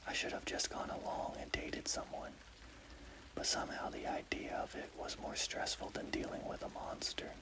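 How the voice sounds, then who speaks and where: A man telling a scary story in a breathy, unvoiced ASMR whisper. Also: moderate pace. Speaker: horror story narrator.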